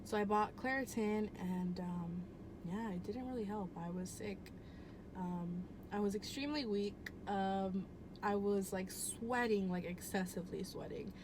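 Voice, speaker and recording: A woman's voice: mid-range voice, unhurried. A young woman telling a personal story at home in a casual, rambling way, full of "um" and "like" and easy swearing. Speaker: vlogger. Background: air conditioner hum.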